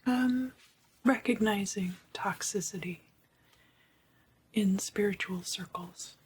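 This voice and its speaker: A woman hosting ASMR, whispering a guided meditation in a slow, hushed manner and softly repeating her phrases. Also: mid-range voice, a little hiss or hum. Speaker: ASMR video host.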